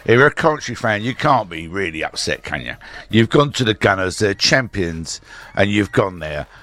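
A hoarse man with a slight cold hosting a local radio sports show, chatting casually and rambling with lots of filler sounds. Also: fairly low pitch, very animated, moderate pace, pushed and bright. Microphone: studio microphone.

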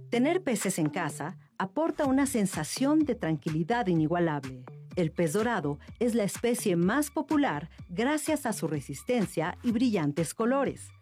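A woman's voice: mid-range voice, darkish tone. A woman reading short news and trivia items as scripted narration. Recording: music bed under voice.

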